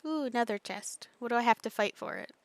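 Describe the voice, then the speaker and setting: A woman's voice: fairly high pitch, lively intonation, quick pace. A woman game streamer giving casual solo commentary, muttering reactions to herself as she plays.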